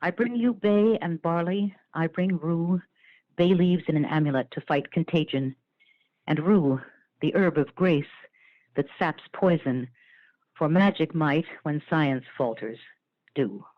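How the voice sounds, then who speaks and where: A man's voice: on the high side, measured pace, no room sound. A man reciting poetry as an actor, with a solemn, incantatory dramatic delivery. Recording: dry, narrow phone-like sound.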